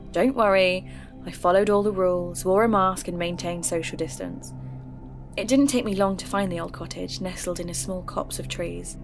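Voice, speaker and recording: Woman narrating over music. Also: on the low side. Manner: earnest, curious, measured. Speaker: narrator and lead character. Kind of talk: scripted audio drama narration. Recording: music bed behind voice.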